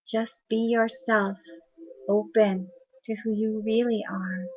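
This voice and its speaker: A woman voicing a gentle, motherly queen who speaks softly and soothingly. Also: mid-range voice, flat delivery, slow. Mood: tender. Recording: dull lo-fi recording.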